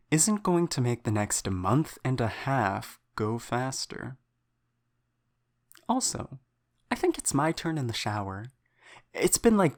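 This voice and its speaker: A woman playing an excitable, impatient scientist on a starship, chatting to herself in an audio drama log. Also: very deep voice, heavily acted. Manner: animated, self-talking, grumbling.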